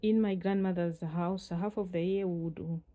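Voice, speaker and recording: A Malawian woman in her forties from the north of the country, telling her own life story in an unhurried way with many pauses and fillers. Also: fairly low pitch, fairly even intonation, dark tone. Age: 40s. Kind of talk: reflective personal storytelling.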